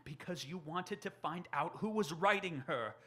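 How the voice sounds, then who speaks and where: Male actor as desperate loyal friend, radio drama, fairly high male voice, urgent, persuasive, earnest. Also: normal speed, fairly bright tone, close to an everyday voice. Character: earnest, desperate writer friend pleading a plan. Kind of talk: stage radio drama acting.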